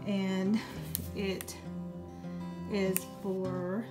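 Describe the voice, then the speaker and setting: A woman's voice: mid-range voice, flat delivery, extremely slow. Chatty woman over music. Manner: chatty, with many ums and restarts. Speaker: home cleaning vlogger. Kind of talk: casual talk over a cleaning demo. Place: home kitchen, indoors. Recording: music playing under the voice.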